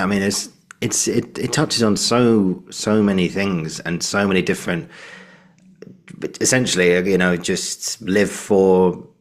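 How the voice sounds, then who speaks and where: A man with a deep voice hosts a casual interview. He is supportive and rambling, often halting and doubling back on his words as he works toward his point. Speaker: podcast host, interviewer.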